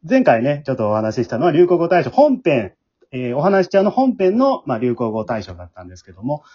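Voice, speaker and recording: A man's voice: medium pitch, huge pitch swings, quick pace, darkish tone. A man hosts a casual podcast round-table, mixing polite announcer phrasing with chatty asides. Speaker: host, leader of an amateur drama group. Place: at home, remote group call. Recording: dull remote home recording.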